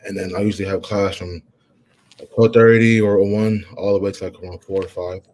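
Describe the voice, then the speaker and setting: A young man, a college football player, answering interview questions in a halting way, with many "you know" fillers and restarts. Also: on the low side, flat delivery, a little mellow, poor audio. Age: young adult.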